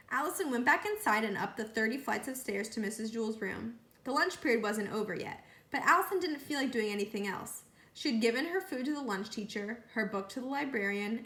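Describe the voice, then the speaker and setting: Echoey bright-voiced woman reading a storybook. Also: fairly high pitch, a little fast. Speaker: children's book reader. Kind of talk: read-aloud storytelling narration. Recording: roomy echo.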